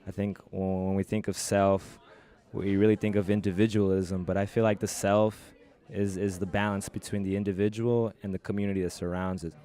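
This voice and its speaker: A man with a deep voice and little rise or fall. He answers reflectively, building his thoughts in philosophical phrases. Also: little pitch movement, a little fast, dark tone.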